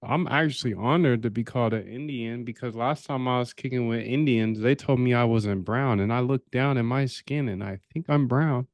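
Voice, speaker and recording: A man hosting a live stream as he codes, talking in a loose, joking way with plenty of swearing. His voice sits at an ordinary middle pitch. The sound is dry and close, with no room echo. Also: moderate pace, few pauses. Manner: loose, profane, joking banter with chat. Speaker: livestream host coding a trading bot. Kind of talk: casual live-stream chat.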